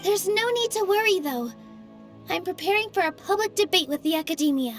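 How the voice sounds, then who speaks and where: A young woman voicing a kind, earnest dancer in a video game, speaking softly and reassuringly. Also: very high voice, lively intonation, brisk, faint music behind. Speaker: theater dancer.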